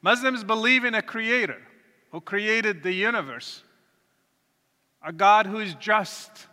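Man, high bright tone, measured preaching testimony. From Morocco. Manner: earnest, deliberate, with pauses and restarts. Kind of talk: testimony talk to an audience. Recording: reverberant hall.

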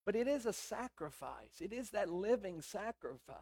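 A middle-aged man preaching. His voice is hoarse and raspy yet sits fairly high. He tells stories in a conversational way, acting out the lines of dialogue. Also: sing-song, moderate pace, a little mellow. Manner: conversational storytelling with quoted dialogue. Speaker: pastor. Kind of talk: sermon.

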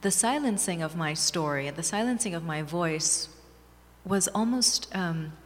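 A woman author from Bangladesh answers interview questions in a measured, earnest, reflective way. Her voice sits on the low side for a woman. A long reverb trails her words around the hall. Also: animated. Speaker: author and guest. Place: small community gathering room.

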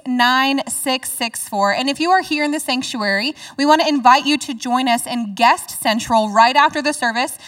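A woman's voice: sits high, fairly bright tone, distant mic. Upbeat woman giving church announcements. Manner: upbeat, slows to spell out numbers. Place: church sanctuary.

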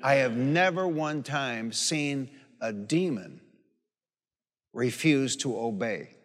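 A man in his late sixties delivers a sermon as a pastor. His voice sits at a medium pitch and his manner is folksy and emphatic, with repeated phrases. The long reverb of a large hall surrounds his words. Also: lively intonation, slow, a bit bright. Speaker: pastor preaching. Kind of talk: sermon with personal anecdotes and calls for amen. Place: large hall or church, preaching to a congregation.

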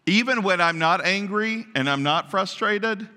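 Loud preacher guy, high sharp voice, keeps asking the crowd questions, big church reverb. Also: a little slow. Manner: naturally loud, emphatic, asks the congregation many questions. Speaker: pastor. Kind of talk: sermon. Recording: long reverb of a large hall.

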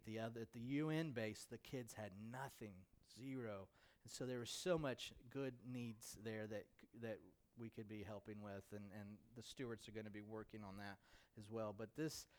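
Smooth-voiced American pastor, conversational. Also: male voice, lowish voice, a little mellow. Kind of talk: informal church presentation of a mission trip.

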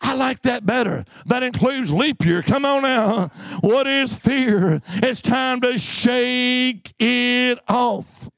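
High-pitched man preaching with slow emphasis. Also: creaky, a bit bright, no echo. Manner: emphatic, exhorting, repeats phrases for effect; dramatic storytelling with drawn-out words. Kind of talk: fervent sermon to a congregation.

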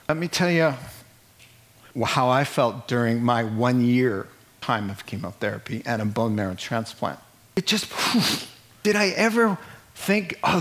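A middle-aged man preaching a sermon in an animated way, acting out the people he quotes and laughing at his own jokes. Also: high-pitched. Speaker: pastor.

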